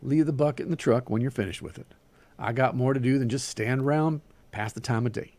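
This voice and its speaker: A haughty middle-aged woman of the , performed by a man reading a story. The delivery seethes, with muttering and growls. The voice is creaky and very dry in the recording. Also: mid-range voice, lively intonation, normal speed, a little mellow, dry recording. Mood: curt contempt.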